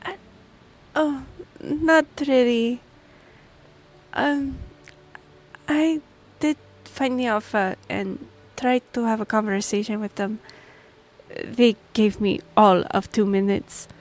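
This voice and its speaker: A woman's voice: fairly high pitch, fairly even intonation, slow. A woman playing a polite, flustered young half-elf royal liaison in a fantasy audio roleplay, hesitant and apologetic. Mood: dejected.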